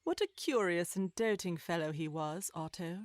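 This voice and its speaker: A woman's voice: on the low side, normal speed, dry recording, lightly acted. A woman acting as narrator, reading scripted storybook narration in a children's audio drama. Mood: calm.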